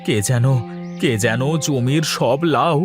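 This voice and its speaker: A man's voice: mid-range voice, slow pace, over music, lightly acted. A man voicing a humble, folksy village farmer in a cartoon, plain-spoken and easily worked up. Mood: distraught, wailing. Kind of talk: Bengali cartoon voice acting.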